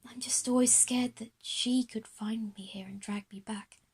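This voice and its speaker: Woman acting a shy schoolgirl, haunted, grateful, high, husky, soft, earnest, audio drama. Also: steady tone, measured pace, full character voice. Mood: frightened. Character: timid, grateful newcomer girl haunted by her past.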